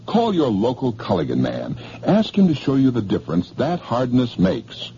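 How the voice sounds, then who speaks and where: Husky, lively vintage radio pitchman. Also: highs cut off. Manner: chatty, folksy pitchman. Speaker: commercial announcer. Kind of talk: scripted radio advertisement.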